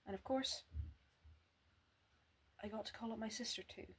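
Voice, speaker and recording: A woman voicing an eager, showy young woman, lifting her voice a little higher and brighter for the character in an amateur read-aloud of a novel. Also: moderate pace, slightly rough, close to an everyday voice. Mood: excited. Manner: eager, gushing, raised pitch.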